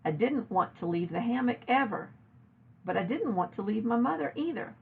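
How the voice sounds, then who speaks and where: A woman reading a children's novel aloud in an expressive narrating style, like a teacher reading to a class. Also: fairly high pitch, moderate pace, creaky, husky. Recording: narrow, phone-like lo-fi audio.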